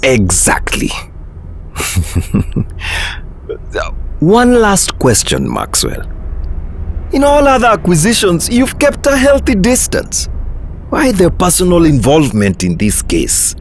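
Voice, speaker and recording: A man playing a smug, scheming attorney general in an animated drama, with an oily, deliberate and formal manner. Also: high voice, slight background noise, heavily acted. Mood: probing.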